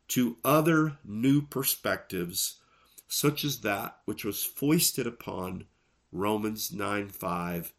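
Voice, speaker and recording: Man, gravelly voice, recovering from illness, fairly low pitch, earnest, emphatic. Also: fairly bright tone. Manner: earnest, measured, emphatic on key words. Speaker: podcast host and preacher. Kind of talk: solo podcast commentary on Bible texts.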